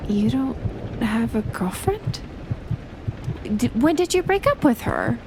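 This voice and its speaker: Casting: actress as shy, teasing best friend in love, for intimate audio roleplay; highish, very unhurried, flustered, half-whispered, rain and thunder behind. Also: female voice, big pitch swings, background noise, exaggerated voice. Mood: fond, surprised. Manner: playful, flustered, hesitant, breathy half-whispers.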